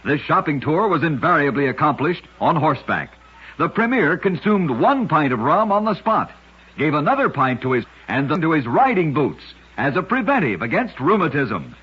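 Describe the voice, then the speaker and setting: A man hosting an old-time radio show, narrating short scripted oddity tales in a husky, rough-edged voice. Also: fairly high pitch, very animated, normal speed. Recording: dull, lo-fi old radio recording.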